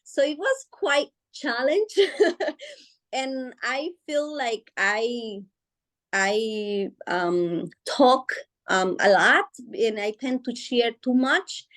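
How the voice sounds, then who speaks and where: A woman's voice: on the high side, extremely slow, a bit bright. A woman whose first language is Spanish, talking as an author guest in a casual interview, hesitant with many fillers and restarts, often laughing as she speaks. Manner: emotional, laughs while speaking.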